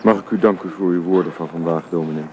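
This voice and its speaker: An actor playing a gentle, hesitant, recently widowed father in a radio play, grieving but tender with his child. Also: deep voice, steady tone, dull audio, natural voice. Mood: subdued. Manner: hesitant, halting. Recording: radio play recording with faint noise.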